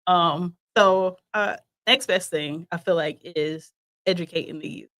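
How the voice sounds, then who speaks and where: A woman's voice: fairly low pitch, measured pace, a bit bright. Talk show guest: a woman who is friendly and chatty and answers casually. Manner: friendly, chatty, laughs while talking. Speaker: guest, school founder.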